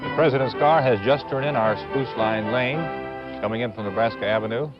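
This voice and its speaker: A man's voice: mid-range voice, fairly even intonation, brisk. An American man reporting live outdoors as a 1950s television correspondent, describing the scene in short, clipped phrases on muffled old audio. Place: outdoors at a building entrance. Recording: muffled 1950s broadcast with music behind.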